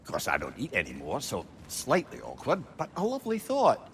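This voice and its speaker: A man playing a talkative older sage in a video game, with a Scottish accent and a wry, excitable manner. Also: mid-range voice, fairly quick, a little hiss or hum. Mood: wry, uneasy. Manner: chatty, wry, exclaiming. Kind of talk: dramatic video game acting.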